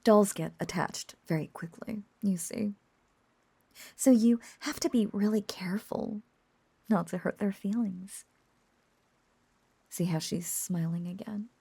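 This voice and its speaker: A woman voicing an obsessive, possessive witch in an intimate roleplay audio drama, cooing and coaxing in soft, breathy half-whispers. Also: medium pitch, measured pace, pauses often. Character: lovesick yandere witch, obsessive, possessive, sweetly unhinged.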